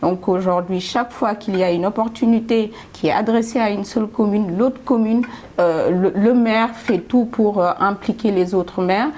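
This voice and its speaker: A woman answers interview questions in a studio. Her voice has an average pitch, and her delivery is halting, with repeated words. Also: a little mellow. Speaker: development programme representative, studio guest.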